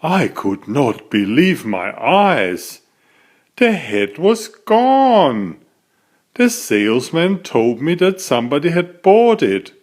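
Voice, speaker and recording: A man narrates a children's picture book in a deliberate, dramatic way. His mid-pitched voice swings widely up and down. He takes his time with every sentence. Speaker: children's book author reading aloud. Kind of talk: expressive scripted storybook narration.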